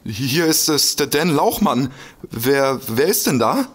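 Swoopy-voiced, flustered fanboy detective. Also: male voice, medium pitch, lively intonation, slow, husky. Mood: flustered surprise. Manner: stammering, gushing, giggly, easily flustered. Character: excitable, smitten, bumbling male detective fan. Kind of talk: comedic German audio drama.

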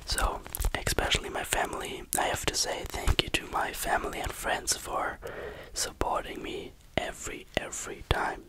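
An ASMR creator whispering answers to questions in English, halting and searching for words, close to the microphone. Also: poor audio.

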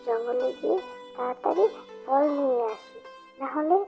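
A woman's voice: sits high, normal speed, creaky. A woman voicing an anxious, hard-working animal mother who sells fruit in a fairy-tale cartoon. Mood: worried. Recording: dull lo-fi audio with music behind.

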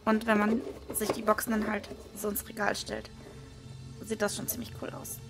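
A woman with a hoarse voice, casually commenting as she unboxes trading cards at a desk. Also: medium pitch, moderate pace, music in the background. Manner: relaxed, with frequent hesitation fillers. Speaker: card unboxing video host. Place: indoors at a desk, hands over table. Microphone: small camera-mounted microphone.

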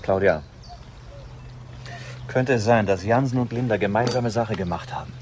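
A man's voice: on the low side, normal speed, natural voice. Dogged police detective played by a man, noisy backdrop. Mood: probing. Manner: pressing, clipped questioning. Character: dogged, impatient male police detective obsessed with a case. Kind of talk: German crime radio drama acting. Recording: radio drama with background noise.

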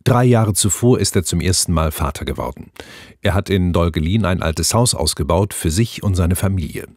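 Deep, husky male true-crime narrator. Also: a little mellow, no echo, studio quality. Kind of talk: scripted true-crime narration in short dramatic sentences. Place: recording studio. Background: background music.

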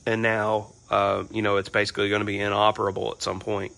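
Hoarse man rambling in his garage. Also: lowish voice, fast. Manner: relaxed, filler-heavy rambling with frequent "um" and "you know". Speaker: garage channel host. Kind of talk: casual vlog update. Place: large home garage with car bays.

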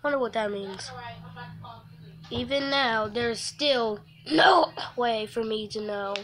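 A woman livestreamer reading a visual novel aloud, haltingly, breaking off for casual offhand asides. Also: on the high side. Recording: noisy mobile stream with clicks.

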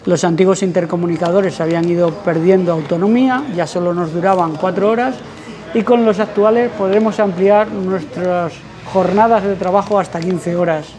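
A man narrates a hands-on tutorial as a presenter, explaining things with halting pauses. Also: high voice, fairly even intonation, crowd noise. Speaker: presenter of a motorcycle channel. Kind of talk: tutorial voice-over commentary.

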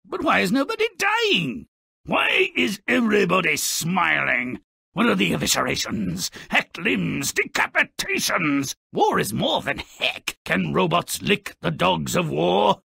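An actor voicing a sardonic, gleefully morbid gnome-like troublemaker who tells fairy tales in a mocking, theatrical, gravelly way for a cartoon game. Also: male voice, sits high, big pitch swings, measured pace, pushed and bright, dry recording, studio quality, heavily acted. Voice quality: gravelly, raspy. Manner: theatrical, sarcastic, mocking storyteller. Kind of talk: scripted cartoon game narration.

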